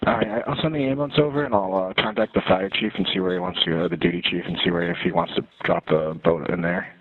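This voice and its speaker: A man's voice: fairly low pitch, big pitch swings, gravelly, slightly rough. A man working as an emergency dispatcher, asking procedural questions over a tinny recorded phone line. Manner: brisk, procedural. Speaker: 911 dispatcher. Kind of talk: emergency call handling. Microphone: telephone.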